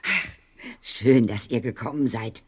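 A woman's voice: very deep voice, expressive, a little slow, slightly rough, exaggerated voice. An actress playing a small, friendly, slightly hesitant woman shopkeeper in an audio drama. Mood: friendly, wry.

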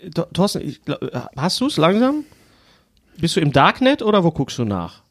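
Male podcast host, fairly high and very animated, halting and conversational. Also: slightly rough. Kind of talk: casual film and series podcast chat.

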